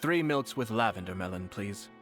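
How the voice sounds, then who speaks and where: An actor playing a composed young noble commissioner, measured, polite and quietly amused. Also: male voice, lowish voice, animated, faint music behind. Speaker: clan commissioner. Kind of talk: game cutscene voice acting.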